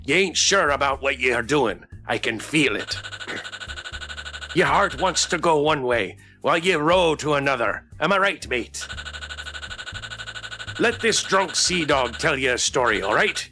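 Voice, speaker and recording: A man's voice: on the high side, expressive, measured pace, music in the background. A man voicing a swaggering, teasing, big-hearted drunk pirate woman from a game, in hearty theatrical pirate dialect. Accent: stage pirate.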